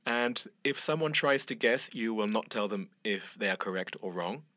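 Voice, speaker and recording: A man hosting a podcast interview, asking relaxed follow-up questions. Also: lowish voice, normal speed, no echo. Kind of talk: conversational interview.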